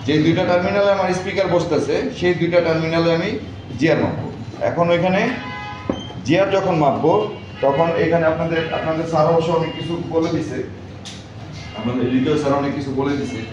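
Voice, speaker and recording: A man's voice: fairly high pitch, fairly quick. Man teaching in a very echoey room. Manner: instructional, repetitive, often quizzing listeners. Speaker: electronics repair instructor. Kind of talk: classroom lecture with check questions. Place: echoey indoor classroom. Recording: very echoey room, far mic, clear noise, dull.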